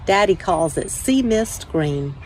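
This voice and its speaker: A woman hosting a children's story time, reading aloud with measured, deliberate phrasing. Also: fairly low pitch, expressive, darkish tone, slight background noise. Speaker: church children's ministry director, story time host.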